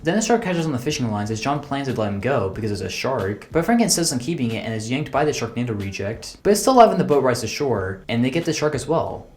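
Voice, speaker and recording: A man's voice: mid-range voice, sing-song, quick pace, few pauses, darkish tone, a little hiss or hum. A man giving scripted, humorous film review commentary in a sarcastic, incredulous way. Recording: roomy, echoey voice-over.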